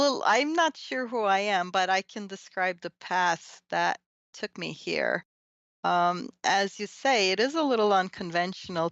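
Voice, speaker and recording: Middle-aged woman from Turkey, creaky voice. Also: lowish voice, no echo, dull audio. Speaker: interview guest, technology and society researcher. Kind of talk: conversational personal storytelling.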